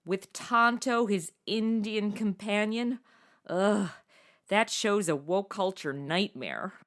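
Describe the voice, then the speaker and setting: A woman's voice: mid-range voice, slow pace, fairly bright tone. A woman playing a flippant, sarcastic modern young woman stuck in the Old West, in a comedy audio drama. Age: young adult. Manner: sarcastic, slangy, breezy.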